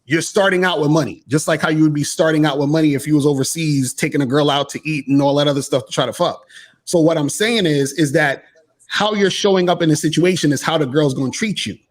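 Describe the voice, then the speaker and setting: A man's voice: on the high side, fast. A man in casual debate, insistently repeating his point. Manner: insistent, repeats "what I'm saying". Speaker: podcast co-host.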